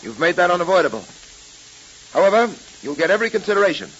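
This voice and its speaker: An actor playing a firm, commanding police detective hero in a 1930s radio serial. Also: male voice, high-pitched, very animated, fast, a bit bright, breathy edge, exaggerated voice. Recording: muffled, hissy 1930s radio recording.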